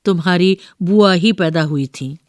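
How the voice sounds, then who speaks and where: A woman's voice: lowish voice, dry recording, studio quality, close to an everyday voice. A woman voicing a rambling, doting old grandmother who keeps correcting herself in a storybook reading. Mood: reminiscing, muddled. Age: older. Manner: slow, rambling, self-correcting.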